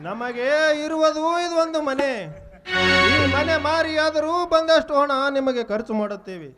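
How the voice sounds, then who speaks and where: A man's voice: very high-pitched, measured pace. A man playing a humble, poor father of a bride in a folk play, pleading in formal, declamatory Kannada. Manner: formal, imploring. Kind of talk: declamatory folk theatre acting. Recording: live stage play recording, loud music and tabla.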